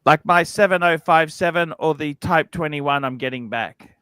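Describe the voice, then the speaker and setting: A man's voice: a little high, moderate pace, pushed and bright, smooth, no room sound, studio quality. A man hosting a casual livestream panel chat, blunt and swearing, who keeps checking with "okay?". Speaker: livestream host.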